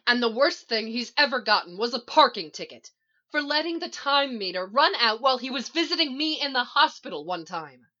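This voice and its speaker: A woman acting a bossy, exasperated younger sister in a story, forceful and impatient. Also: a little high, expressive, fairly quick, muffled recording, voice pushed up.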